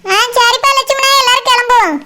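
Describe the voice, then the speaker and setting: Woman acting a squeaky, quick-talking village mother. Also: bright, forceful tone. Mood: busy, instructing. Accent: Kanyakumari Tamil. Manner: chatty, instructing. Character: busy village mother organizing a wedding family, good-natured. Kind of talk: village cartoon drama acting.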